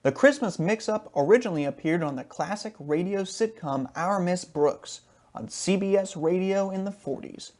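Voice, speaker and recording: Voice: mid-range voice, lively intonation, moderate pace, darkish tone. An announcer reading a scripted introduction and credits in a formal presenting manner.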